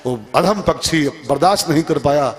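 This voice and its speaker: High-pitched male preacher. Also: moderate pace. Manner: emphatic, repeats phrases, asks rhetorical questions. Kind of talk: musical scripture discourse with moral teaching.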